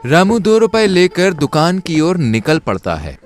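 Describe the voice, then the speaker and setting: A man's voice: a little high, wildly swooping pitch, measured pace, soft background music. A man narrating a scripted cartoon story.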